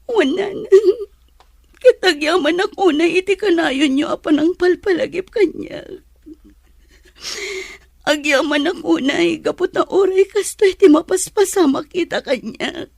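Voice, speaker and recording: A woman's voice: very high-pitched, measured pace, gravelly, a little mellow, no echo, clean studio sound, full character voice. A woman playing a hurt, betrayed young mother in an audio drama, plaintive and reproachful. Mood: tearful, hurt. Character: hurt, betrayed young mother who just gave birth, Megan. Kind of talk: Ilocano audio drama acting.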